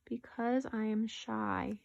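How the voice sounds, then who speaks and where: A woman's voice: medium pitch, moderate pace, creaky, dull audio, noisy background, lightly acted. A woman voicing a shy, apologetic owl from a children's picture book. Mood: timid, trembling.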